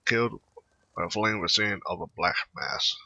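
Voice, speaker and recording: Low-pitched, very bright halting male gamer. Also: wildly swooping pitch, creaky, breathy edge, no room sound. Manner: halting, stumbles over words. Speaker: gamer narrating a playthrough. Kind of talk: casual game commentary, reading game text aloud. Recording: slightly dull, lo-fi microphone audio.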